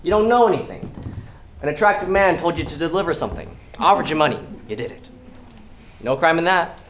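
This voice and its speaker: A man's voice: fairly high pitch, expressive, a little fast, a bit bright, reverberant. A man in a stage play acting as a persuasive criminal schemer, coaxing and commanding in a pointed way. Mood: coolly persuasive. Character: smooth, persuasive male criminal schemer recruiting a reluctant worker. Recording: noisy live stage recording.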